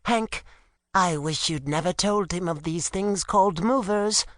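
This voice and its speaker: A man's voice: high-pitched, a little slow, a bit bright, dry recording, studio quality, voice pushed up. A man voicing a blunt, grumbling woman warrior in an audiobook.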